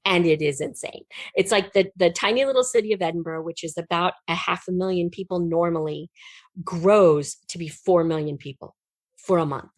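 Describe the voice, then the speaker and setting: A middle-aged woman speaking as an interview guest, telling stories conversationally in an animated, emphatic way. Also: fairly low pitch, normal speed, dry recording. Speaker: guest, writer and performer.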